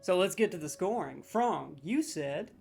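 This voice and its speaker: A man riffing through a comedic gaming commentary with excitable, sarcastic energy, trailing off and restarting as he rambles. He swears freely and keeps checking in with the listener. Faint music plays underneath his voice. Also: high-pitched, measured pace, gravelly. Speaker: gaming video host.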